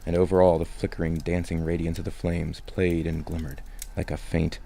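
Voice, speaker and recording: Deep, creaky-voiced male storyteller. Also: flat delivery, moderate pace, mellow, slight background noise. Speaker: narrator. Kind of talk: scripted storybook narration.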